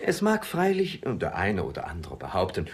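An actor playing an obsequious, scheming young suitor in a classic comedy radio play, formal and theatrically deferential. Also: male voice, deep voice, huge pitch swings, moderate pace, slightly rough, exaggerated voice. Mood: flattering, hedging.

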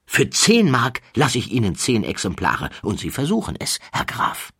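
A man's voice: mid-range voice, huge pitch swings, normal speed, fairly bright tone, slightly rough, pitched above the performer's own voice, full character voice. A man voicing an eccentric, down-at-heel self-styled baron in an audiobook, theatrical, persuasive and grandly self-assured. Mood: wheedling.

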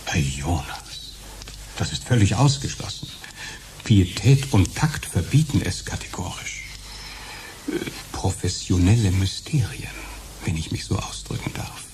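An actor playing an unctuous, evasive funeral director who talks in pompous, stilted formalities in a radio play. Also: male voice, low-pitched, sing-song, a little slow, whispered.

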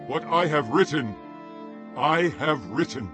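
An actor playing a commanding, exasperated Roman governor judging a case in a scripture audio drama, with declamatory delivery. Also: male voice, sits high, sing-song, normal speed. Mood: defiant. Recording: music behind the voice.

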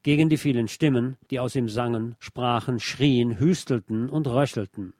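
Man narrating in a dark, dry voice. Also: mid-range voice, normal speed, no room sound. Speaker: radio presenter reading a music feature. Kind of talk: scripted narration.

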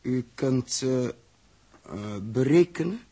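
An actor playing an earnest, probing spaceship captain in 1960s radio drama style, speaking in intense, halting, questioning phrases. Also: male voice, medium pitch, dark tone, husky. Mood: suspicious, probing.

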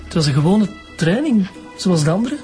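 A young actor playing a defensive teenage footballer with a Flemish accent, evasive and stammering under pressure, in a radio crime drama. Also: male voice, high-pitched, big pitch swings, a little fast, mellow, faint music behind.

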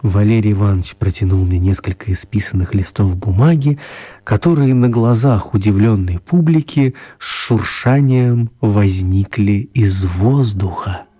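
An actor playing an author who narrates his children's story in a radio play, mellow and husky. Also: lowish voice, measured pace. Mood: storytelling.

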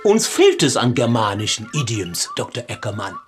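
A man playing a grand, oily English knight in a German radio play, effusive and flattering. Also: medium pitch, moderate pace, soft background music, lightly acted. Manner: effusive, flattering, bossy to his aide.

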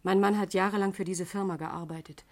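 An actress playing an aggrieved widow, hesitant yet insistent and emotional, in a 1960s German radio crime drama. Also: female voice, mid-range voice, steady tone, brisk, darkish tone, lightly acted. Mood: proud, reproachful.

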